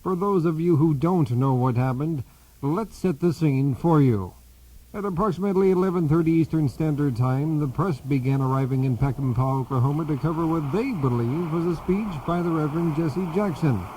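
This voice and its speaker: An actor playing a solemn, self-important news anchor in a satirical radio newscast. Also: male voice, fairly high pitch, warm tone, breathy edge, poor audio. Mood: solemn, earnest. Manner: solemn, measured. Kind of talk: mock news broadcast narration.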